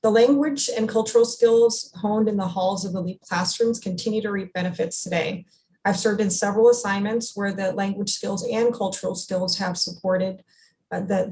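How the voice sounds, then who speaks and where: A middle-aged woman speaking as a military officer and interview guest, giving prepared answers in a formal, articulate, measured way. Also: medium pitch, a little mellow, rough recording.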